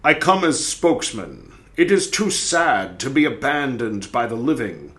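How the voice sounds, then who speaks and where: A man reading as an old male prophet who pleads for the dead in a measured, lamenting way. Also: mid-range voice, sing-song, fairly bright tone. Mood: pleading.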